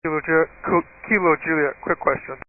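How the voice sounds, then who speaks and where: A man's voice: a little high, fairly quick. A man speaking as a pilot over aircraft radio in clipped readback phrases. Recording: muffled, staticky air traffic radio.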